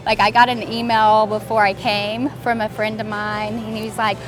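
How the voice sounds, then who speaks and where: A woman voice actress chatting in an interview, upbeat and quick to laugh. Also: medium pitch, little pitch movement, poor audio. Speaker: voice actress guest. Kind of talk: casual interview chat.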